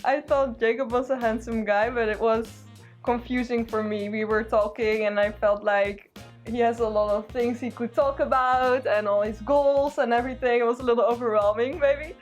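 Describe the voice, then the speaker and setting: A woman's voice: on the high side, fairly even intonation, normal speed, soft background music. A young woman from the Netherlands, a student chatting cheerfully in an interview and laughing between phrases. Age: young adult. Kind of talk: casual chat.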